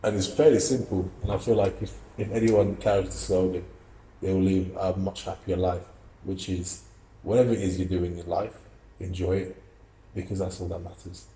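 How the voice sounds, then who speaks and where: A man's voice: sits low, little pitch movement, normal speed, dark tone, reverberant, low-quality recording. A young man from Russia, an amateur boxer and student, giving earnest, reflective interview answers with many fillers and restarts. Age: young adult.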